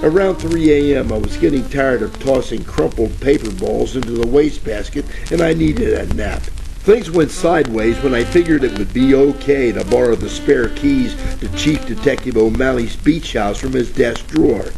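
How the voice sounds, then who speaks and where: A man playing a wisecracking, hapless private eye in a parody of old radio detective drama, with deadpan delivery. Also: mid-range voice, normal speed, gravelly, lightly acted. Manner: deadpan, wisecracking first-person narration. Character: wisecracking hardboiled male gumshoe, hapless and flirty. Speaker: narrator and lead actor. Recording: crackly old-time radio with music.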